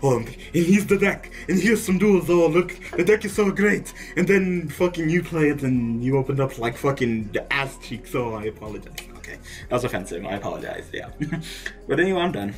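A man's voice: on the high side, animated, a little fast. A young man venting over a card game he just played, swearing and laughing in exasperation as he comments. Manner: ranting, profane, laughing in exasperation.

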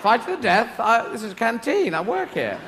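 A man doing a mild high canteen worker. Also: sing-song, moderate pace, noisy background, full character voice. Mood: matter-of-fact. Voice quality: higher, matter-of-fact. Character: mild male canteen worker, plain and unflustered. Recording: live stand-up hall with audience.

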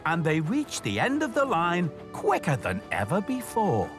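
A middle-aged man presenting and telling stories to children as a station master, expressive and clearly enunciated. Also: fairly high pitch, a little slow, music in the background.

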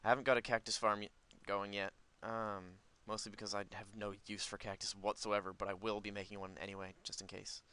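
A man's voice: on the low side, flat delivery, brisk, few pauses, pushed and bright, clear, resonant tone. A man giving casual, unscripted gameplay commentary, rambling as he thinks aloud. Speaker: gaming video host.

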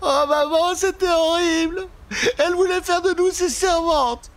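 A man voicing a young girl in a spooky storybook tale, urgent and excitable, with exclamations. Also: a little slow. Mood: tearful, upset. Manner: high, urgent, breathless exclamations. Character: frightened, excited little girls, two sisters fleeing an ogress.

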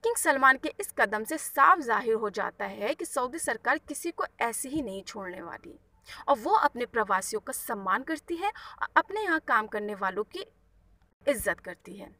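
A woman's voice: fairly high pitch, big pitch swings, moderate pace, pushed and bright, recorded from a distance. A woman presenting the news as a scripted narration.

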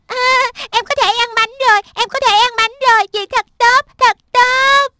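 A woman's voice: extremely high pitch, steady tone, moderate pace, pushed and bright. A woman voicing an eager, stubborn little boy in a cartoon dub, whiny and exclamatory. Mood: delighted.

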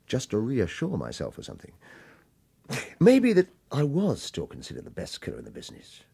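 A man's voice: lowish voice, vocal fry, mellow, slightly rough, no room sound, heavily acted. An actor playing a guarded, world-weary retired hitman in a radio crime drama, terse and wry. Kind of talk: radio crime drama dialogue and first-person noir narration.

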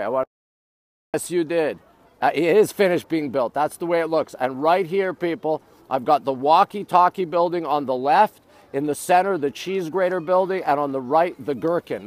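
A middle-aged man chatting casually to live-stream viewers outdoors, in short, repeated exclamatory phrases. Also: fairly high pitch. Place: outdoors on city streets by a river.

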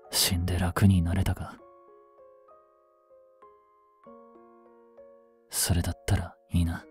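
A man playing a grieving young policeman in an audio drama, speaking in a slow, bitter, intimate murmur. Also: sits low, mellow, breathy edge, exaggerated voice. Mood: numb grief. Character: grieving young policeman mourning his criminal girlfriend, tender. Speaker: voice actor. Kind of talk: Japanese audio drama monologue. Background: music.

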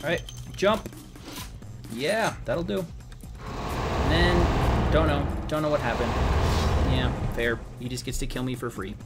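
A slightly hoarse man giving casual, rambling gameplay commentary as a let's play host, sounding wry and exasperated. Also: mid-range voice, unhurried, long pauses. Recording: game music behind the voice. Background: video game music.

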